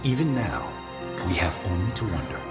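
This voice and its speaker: An actor playing the polished, solemn narrator of a power company's promotional film in a video game. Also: male voice, low-pitched, sing-song, moderate pace. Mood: grand, hopeful. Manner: grand, solemn, rhetorical. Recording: music behind the voice. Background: loud background music.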